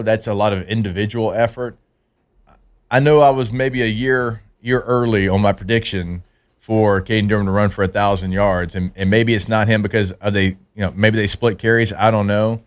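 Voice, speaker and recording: A man hosting casual sports radio talk, animated and quick to exclaim. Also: lowish voice. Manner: animated, laughs easily, exclaims often.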